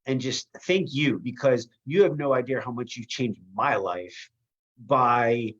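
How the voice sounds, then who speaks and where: A man's voice: mid-range voice, dull audio. A man speaking on a group call, giving an emphatic, inspirational tribute. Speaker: community member giving a testimonial. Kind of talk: motivational speech on a call.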